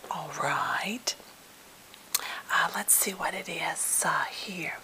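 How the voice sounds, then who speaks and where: A woman playing a timid, slightly odd captive who is eager to please, speaking in a halting, gentle, polite whisper for an ASMR role play. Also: lowish voice, a little hiss or hum, low-quality recording. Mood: soothing, fussy. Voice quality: breathy whisper with fry.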